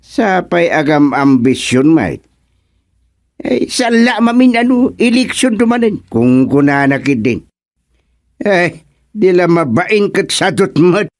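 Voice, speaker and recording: A man's voice: sits high, sing-song, slow, dry recording, clean studio sound, full character voice. A man playing an exasperated village chairman in an Ilocano radio comedy drama, with an Ilocano accent and conversational interjections. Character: male barangay chairman, exasperated with a lazy councilman.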